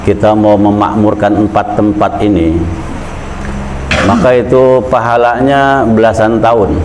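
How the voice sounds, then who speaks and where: A man's voice: steady tone, measured pace, noisy background. A man giving an informal Islamic sermon in a conversational storytelling way, his voice climbing when he urges the listeners. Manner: conversational storytelling preaching, pitch rising when urging. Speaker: preacher.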